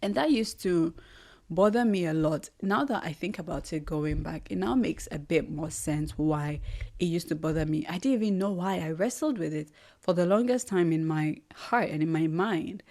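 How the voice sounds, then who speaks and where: Ghanaian woman, young adult, low voice, earnest reflective podcast host. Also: mellow. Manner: thoughtful, earnest, pauses to search for words. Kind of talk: reflective solo podcast monologue.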